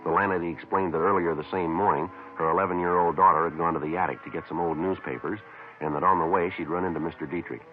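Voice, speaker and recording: Man playing a very deep-voiced, brisk American detective. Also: faint music behind, lightly acted. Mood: matter-of-fact. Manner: clipped, deadpan, polite. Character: terse, businesslike male police detective, unflappable. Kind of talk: 1950s radio drama acting with first-person narration. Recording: narrow, noisy vintage 1950s radio recording.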